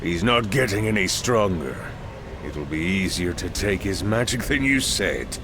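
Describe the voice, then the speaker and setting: Ruthless game pirate lord: very deep gravel, slow, scornful menace, music underneath. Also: male voice, expressive, background noise. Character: ruthless, ambitious male pirate king. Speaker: voice actor. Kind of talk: dramatic video game cutscene acting.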